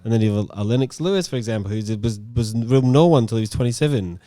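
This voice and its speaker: A man's voice: medium pitch, expressive, fast talker, dark tone, no echo, studio quality. A man in his thirties with an Australian accent, a musician in casual talk, blunt and plain-spoken with frequent swearing. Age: 30s. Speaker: musician guest. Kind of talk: casual chat.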